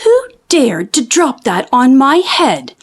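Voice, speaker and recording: Lady doing a cross little storybook mole guy, high pitched, demanding, really swoopy. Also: wildly swooping pitch, takes it slowly, breathy edge, exaggerated voice. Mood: angry. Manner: demanding, emphatic questioning. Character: small, indignant male mole, determined and nearsighted. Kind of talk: children's storybook character voice.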